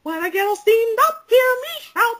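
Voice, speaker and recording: A woman's voice: extremely high pitch, moderate pace, a bit bright. A woman voicing a cheerful cartoon mouse, singing a nursery rhyme comically over an online call. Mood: playful. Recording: faint noise on online voice call.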